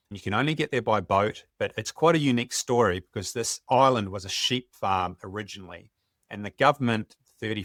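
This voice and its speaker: A middle-aged Australian man, a wildlife photographer, telling stories in a relaxed, enthusiastic podcast chat. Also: fairly low pitch, no room sound, studio quality. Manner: enthusiastic, conversational, some restarts.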